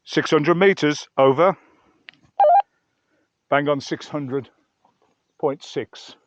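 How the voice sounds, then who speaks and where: Male hiking vlogger, slightly high voice, rambling conversational delivery to camera, somewhat muffled open-air recording. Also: takes it slowly, a little hiss or hum. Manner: chatty, rambling, musing aloud. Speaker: vlogger reviewing gear outdoors. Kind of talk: casual narration to camera while hiking. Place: outdoors on a mountain trail.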